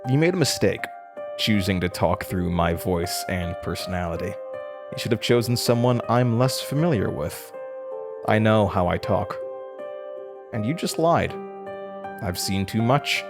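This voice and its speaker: A man's voice: on the low side, lively intonation, unhurried, pauses often, over music. A young man in a horror audio drama playing a weary, defiant college student haunted by his past, candid and dryly resigned. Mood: weary defiance. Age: young adult.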